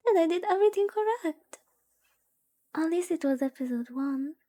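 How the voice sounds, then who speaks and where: A woman's voice: extremely high pitch, expressive, moderate pace, mellow. A woman streamer chatting casually while playing a visual novel, rambling and playfully thinking aloud over game music. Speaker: VTuber streamer.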